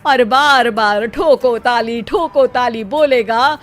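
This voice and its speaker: Woman as resigned middle-aged dad, dry teasing manner, unhurried, cartoon comedy, faint music behind. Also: fairly high pitch. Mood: dryly teasing. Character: exasperated middle-aged father, dryly teasing his son. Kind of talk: comic animated storytelling.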